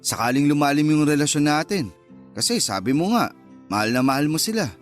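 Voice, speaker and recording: A man's voice: on the high side, lively intonation, brisk, soft background music, lightly acted. A man playing the wistful, sulky lover of a married woman in a Tagalog radio love drama, casual and understated. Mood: hurt, resigned.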